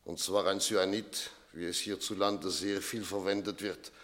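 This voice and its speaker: An actor playing a composed, probing police inspector in a 1960s German radio play, speaking in a measured, formal way. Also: male voice, low-pitched, little pitch movement, fairly bright tone. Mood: matter-of-fact.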